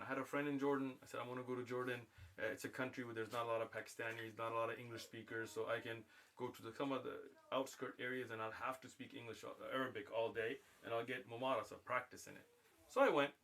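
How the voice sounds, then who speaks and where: A man from the United States spins a long story on a live stream, his voice bright and forward. He slips into the voices of the people he meets, so his delivery jumps and bounces. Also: medium pitch. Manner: animated, acts out voices in anecdotes. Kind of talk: casual storytelling on a livestream.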